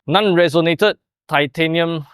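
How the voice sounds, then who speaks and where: A man's voice: a little high, a little slow, very noisy. A young man explaining and demonstrating in the style of a car product showcase. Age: young adult. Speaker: presenter of a car workshop video.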